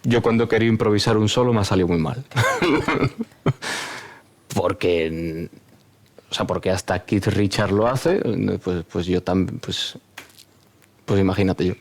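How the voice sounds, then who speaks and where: A man on an online panel, making quick, joking asides and laughing often. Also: deep voice. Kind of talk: panel discussion. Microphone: video call.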